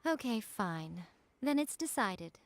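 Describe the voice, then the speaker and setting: Sly, teasing shrine priestess. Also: female voice, medium pitch, big pitch swings, slow. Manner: wry, languid, playfully condescending. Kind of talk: video game voice acting. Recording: background music under the voice.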